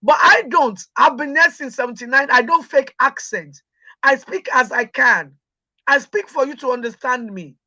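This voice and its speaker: A woman's voice: high-pitched, normal speed, bright, forceful tone, muffled recording. A middle-aged Nigerian woman with a Nigerian accent, speaking as an interview guest, emphatic and proud, repeating words for stress. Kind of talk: interview conversation.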